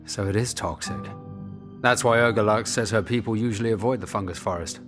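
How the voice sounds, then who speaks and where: A man's voice: fairly low pitch, a little fast, fairly bright tone, natural voice. A man playing a suspicious, urgent military hero in a sci-fi audio drama, with music underneath. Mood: suspicious, probing.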